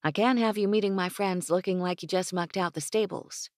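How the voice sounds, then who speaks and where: A woman's voice: on the low side, fairly even intonation, fairly quick, no room sound, clean studio sound, pitched above the performer's own voice, lightly acted. A woman voicing a spirited young ranch woman with a teasing, bossy drawl, read in an audiobook. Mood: playful.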